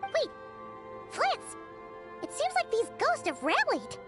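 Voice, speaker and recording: A woman's voice: extremely high pitch, wildly swooping pitch, takes it slowly, over music, full character voice. A woman voicing a small, childish, blunt and bewildered companion creature in a video game. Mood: surprised.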